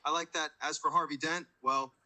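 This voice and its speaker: Man acting a bright, mocking criminal. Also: fairly high pitch, steady tone, brisk. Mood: taunting. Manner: mocking, theatrical, slangy. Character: taunting, cocky male criminal under interrogation. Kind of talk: superhero fan audio drama acting.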